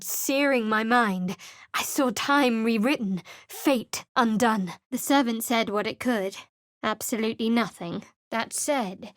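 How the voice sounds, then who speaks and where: Game role: an actress as a sardonic guarded young cleric, fairly high voice, dry close studio sound. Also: female voice, no echo, lightly acted. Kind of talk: video game character voice lines. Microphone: close studio mic.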